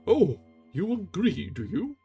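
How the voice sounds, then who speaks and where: A man's voice: high-pitched, very animated, slow, creaky, dark tone, husky, faint music behind, voice pushed up, full character voice. A man voicing an irascible male opera manager who barks orders and questions sharply. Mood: sarcastic. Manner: barking, imperious, sarcastic.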